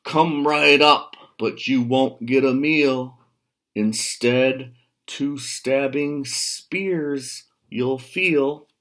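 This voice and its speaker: A man voicing a huge, bold storybook billy goat, roaring with deliberate defiance. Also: medium pitch, a bit bright, pitched above the performer's own voice. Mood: defiant.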